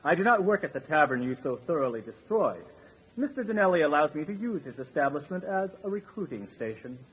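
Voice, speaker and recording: A suave, menacing, formal recruiter, muffled. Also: male voice, fairly high pitch, big pitch swings, fairly quick, husky. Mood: smug menace. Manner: formal, wordy, coolly polite. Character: suave, menacing male recruiter of shanghaied sailors. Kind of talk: old-time radio horror drama acting. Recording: muffled, noisy radio drama recording.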